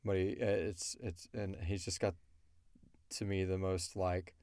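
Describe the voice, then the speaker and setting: A man's voice: low-pitched, flat delivery, measured pace, mellow, no echo. A man hosting a podcast in a casual, chatty style. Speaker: podcast host and voice actor. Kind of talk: casual chat. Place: recording booth.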